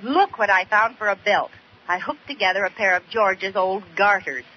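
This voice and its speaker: An American actress playing a scheming, wheedling young housewife with theatrical, exaggerated pleading in an old-time radio comedy. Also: female voice, medium pitch, moderate pace, lightly acted. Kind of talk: 1940s-50s radio comedy acting. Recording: muffled, noisy old radio recording.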